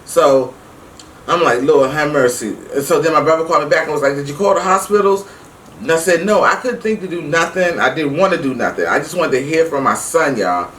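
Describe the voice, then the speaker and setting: A middle-aged woman telling a personal story casually to her viewers, emphatic and animated, acting out the dialogue as she goes. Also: sits low, brisk. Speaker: vlog host. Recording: roomy, distant mic with faint noise.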